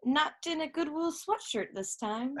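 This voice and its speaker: A woman's voice: high-pitched, lively intonation, unhurried, a bit bright. An actress playing an awkward, self-deprecating young woman fresh out of rehab in a stage play. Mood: shy, wry. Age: young adult.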